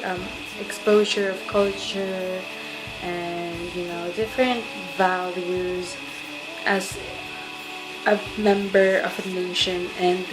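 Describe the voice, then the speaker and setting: A woman's voice: medium pitch, poor audio. A young woman from the Philippines vlogging to her phone camera late at night, sleepy and rambling with lots of fillers. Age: young adult. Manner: rambling, hesitant with many fillers. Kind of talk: casual webcam vlog.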